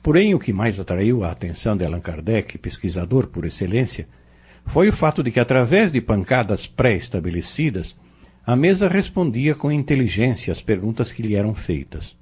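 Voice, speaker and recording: A man's voice: fairly low pitch, very animated, moderate pace, a little mellow, slightly rough. A man playing a learned, patient spiritist mentor in an audio novel, speaking formally and didactically with a Brazilian accent. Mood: earnest, explanatory. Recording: dull lo-fi audio drama recording.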